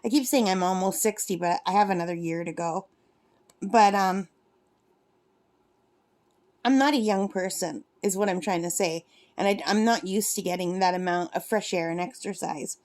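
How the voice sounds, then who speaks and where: A woman's voice: lowish voice, normal speed, pauses often, a little mellow. A woman in her fifties chatting casually in a rambling, self-correcting way, vlogging from her lakeside cabin while she crafts. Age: 50s. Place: cabin at a lake.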